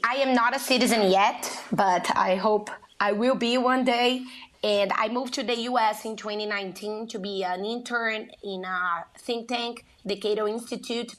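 A young woman answers a radio host's questions, eager and earnest. She talks in short phrases broken by pauses, and her voice sits at an ordinary middle pitch. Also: a bit bright. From Brazil. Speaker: guest, lawyer. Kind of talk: radio interview, on the line.

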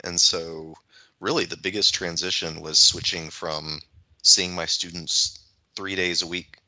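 A man with a deep, strikingly bright and forceful voice chats casually as a podcast co-host. He thinks aloud in halting stretches. The sound is dry and a little lo-fi. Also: steady tone, no echo. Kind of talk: casual conversation.

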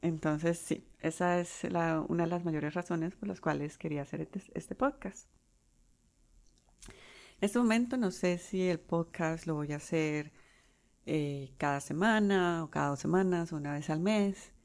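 A Colombian woman in her forties hosting her own podcast, talking unscripted with pauses and restarts. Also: fairly low pitch, a little mellow. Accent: Colombian Spanish. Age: 40s. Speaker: podcast host. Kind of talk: solo podcast monologue.

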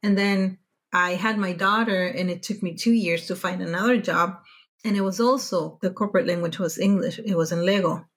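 A woman's voice: mid-range voice, moderate pace. A woman whose first language is Spanish, telling her story as a podcast guest in a casual, chatty way, with frequent restarts and self-corrections. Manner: chatty, animated when recounting frustration, frequent self-corrections.

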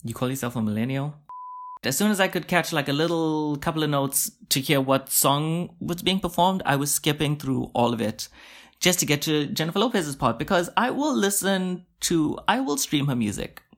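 A man's voice: on the high side, expressive, moderate pace. A young man hosting his own podcast, rambling in a chatty, self-mocking way with lots of asides. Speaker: podcast host. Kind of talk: casual solo podcast monologue.

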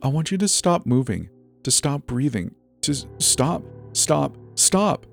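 A man's voice: medium pitch, sing-song, a little slow, creaky, faint music behind, pitched above the performer's own voice. A man voicing a creepy, petulant little girl who controls people like puppets, with a whiny, sing-song manner. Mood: tantrum.

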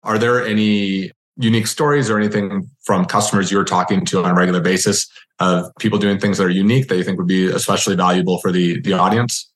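A man with a fairly low voice hosts a casual interview. He speaks haltingly, reworking his phrases as he goes. The sound is noticeably roomy and echoing. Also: fairly even intonation. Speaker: podcast host.

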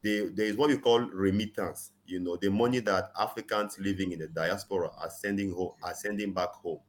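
Earnest low-voiced man, emphatic. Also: steady tone, fairly quick. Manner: earnest, frequent "you know", rising emphasis on key points. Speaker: guest. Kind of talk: conversational interview, advocacy.

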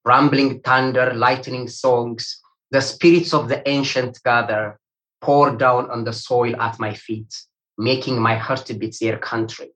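A man from Ethiopia whose first language is Amharic, speaking with an Ethiopian accent. He reads a poem slowly and with care, then answers questions haltingly, with many "uh" fillers. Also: mid-range voice, flat delivery, a bit bright, rough recording. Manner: slow, measured recitation. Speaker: poet and academic guest. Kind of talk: poetry reading then interview answers.

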